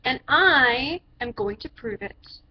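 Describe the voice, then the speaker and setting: Woman as an eager, high-voiced little storybook boy. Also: expressive, slow pace, slightly rough, heavily acted. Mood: insistent. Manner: emphatic, earnest, stretched-out words. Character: eager, insistent little boy in a picture book. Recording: dull lo-fi sound with background noise.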